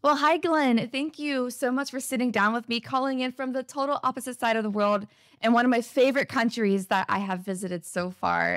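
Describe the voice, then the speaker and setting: Woman, podcast host, enthusiastic, chatty, slightly high voice, dry close sound. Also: no room sound. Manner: upbeat, enthusiastic, fast-talking. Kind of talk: casual interview.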